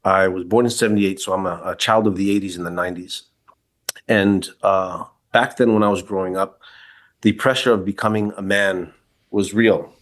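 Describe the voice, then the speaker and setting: A man's voice: low-pitched, fairly even intonation, normal speed, a bit bright. A man in his forties from New York City, a podcast guest telling his personal story in a reflective, candid way with frequent restarts. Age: 40s. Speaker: podcast guest, kink educator. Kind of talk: casual interview, personal story.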